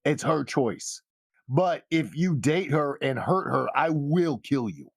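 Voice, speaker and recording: A man's voice: fairly high pitch, lively intonation, slow pace, gravelly, slightly rough, no room sound, heavily acted. A man voicing a hot-tempered, protective brother in a tabletop game, blunt and exasperated, repeating himself. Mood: protective, threatening.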